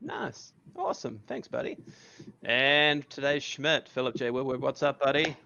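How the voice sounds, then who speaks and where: A man's voice: medium pitch, very animated, a little slow, creaky, sharp tone, no echo, lo-fi sound. A man hosting a casual podcast chat, upbeat and laughing often, calling his guests buddy. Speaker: podcast host.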